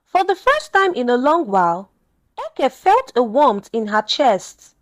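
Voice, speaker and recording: Female storyteller, high register, very lively intonation, scripted dramatic folktale read. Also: unhurried.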